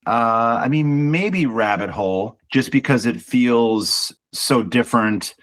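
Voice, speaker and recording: A man with a baritone voice talking about his band's album in a casual interview, reflective and chatty, often restarting with small repeats. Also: expressive, slow, a bit bright. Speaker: band singer and songwriter.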